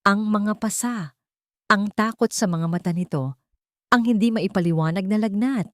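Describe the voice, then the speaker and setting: A woman's voice: mid-range voice, normal speed, no echo, studio quality. A woman reading scripted, dramatic story narration.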